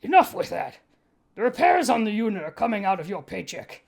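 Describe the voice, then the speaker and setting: A woman's voice: mid-range voice, big pitch swings, a little fast, gravelly, a little mellow. A woman acts out a spaceship captain in an audiobook. The character is commanding and exasperated, scolding with firm authority. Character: stern, exasperated ship captain barking reprimands.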